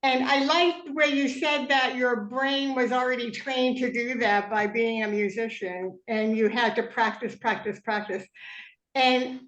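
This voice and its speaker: A woman from Boston asks a question on a video call, talking in a chatty way as she searches for words. Her voice is high, and the room around her echoes. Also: fairly even intonation, a little slow, a bit bright. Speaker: audience member.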